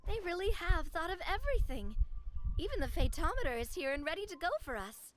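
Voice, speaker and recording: High, breezy young woman. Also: sing-song, brisk, a little hiss or hum. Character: breezy, confident young woman, seasoned tabletop player. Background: game music.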